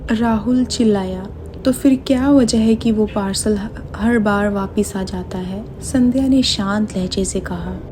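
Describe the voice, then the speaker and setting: A woman narrates a scripted horror tale. Her voice is medium in pitch, mellow and unhurried, with a little noise in the background.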